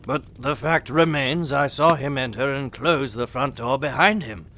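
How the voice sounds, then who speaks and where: A man's voice: mid-range voice, measured pace, bright, forceful tone. A man playing an insistent, testy Victorian businessman in an audio play, emphatic and argumentative. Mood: insistent, irritated. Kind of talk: audio theatre acting. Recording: faint background noise.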